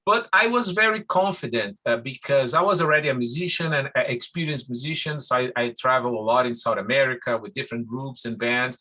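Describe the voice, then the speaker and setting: A middle-aged Brazilian man with a Brazilian accent, a musician guest speaking Portuguese-native English in a rambling, warm way with many restarts. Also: medium pitch, brisk, few pauses. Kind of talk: casual interview.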